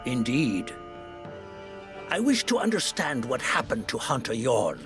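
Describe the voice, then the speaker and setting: A man playing a composed, formal figure of authority in a fantasy video game, measured and authoritative. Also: medium pitch, big pitch swings. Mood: composed, grave. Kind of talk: video game character acting. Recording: music behind the voice.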